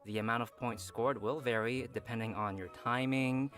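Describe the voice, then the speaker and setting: A man's voice: medium pitch, fairly even intonation, normal speed, fairly bright tone, soft background music. A man hosting a game update program, reading announcements with upbeat, playful asides. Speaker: program host. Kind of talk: reading a scripted event announcement.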